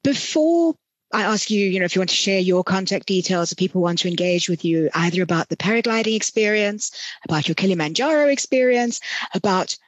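A woman's voice: medium pitch, lively intonation, fairly quick, dry recording. A woman, hosting a conversational podcast interview. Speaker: podcast host.

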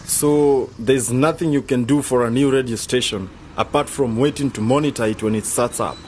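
Actor playing a young guy in a radio play, average pitch, blunt and jokey with his mates. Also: moderate pace, darkish tone, a little hiss or hum, lightly acted. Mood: blunt, questioning. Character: blunt, jokey, hungry young man, club member. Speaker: actor in an educational radio drama. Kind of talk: scripted radio drama dialogue.

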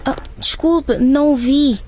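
A woman playing an anxious, hesitant schoolgirl in a radio drama, speaking in a hushed way. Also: sits high, a little slow, slight background noise. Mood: anxious, urgent. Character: anxious, hesitant schoolgirl unsure about a secret date. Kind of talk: scripted radio drama acting.

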